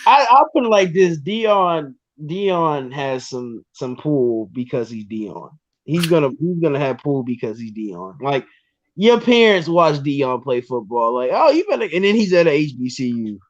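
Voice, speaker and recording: A man in his twenties, a guest on a sports podcast, talking casually and excitedly with lots of restarts and ums. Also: on the high side, a little slow, no room sound, highs cut off. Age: 20s.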